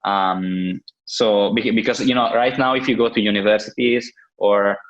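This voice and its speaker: A man in his thirties with an Italian accent and a fairly low voice, talking in a casual, halting way. He keeps reaching for words with "uh" and "you know". The sound is a bit dull and lo-fi. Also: fairly even intonation. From Italy. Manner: hesitant, many fillers and restarts. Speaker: guest, crypto entrepreneur. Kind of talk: casual interview answers.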